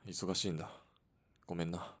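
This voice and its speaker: A man's voice: low voice, little pitch movement, a little fast. A man acting in a Japanese radio drama as an easygoing, slightly vain but kindly hitman, talking in a casual, familiar way. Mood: gentle, apologetic. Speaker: voice actor in an audio drama.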